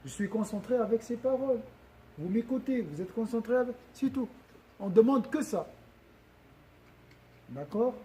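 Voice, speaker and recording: A man's voice: very high-pitched, lively intonation, moderate pace, long pauses, warm tone. A man giving a religious lesson to a gathering, often questioning his listeners and repeating and restarting phrases as he explains. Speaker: religious teacher.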